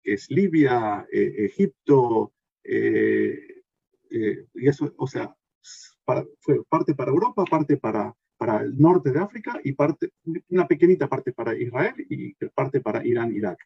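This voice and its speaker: A man's voice: mid-range voice, expressive, measured pace, creaky, breathy edge, no room sound, muffled recording. A man from Argentina, presenting a workshop with many fillers and restarts. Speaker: consultant giving a workshop. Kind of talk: recorded presentation talk.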